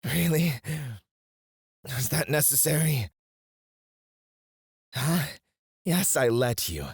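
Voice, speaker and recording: A man's voice: on the high side, takes it slowly, slightly rough, no echo, exaggerated voice. A man playing a cocky, flirty royal alchemist in an intimate fantasy ASMR roleplay, teasing and goading a rival with sardonic mock politeness. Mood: indignant.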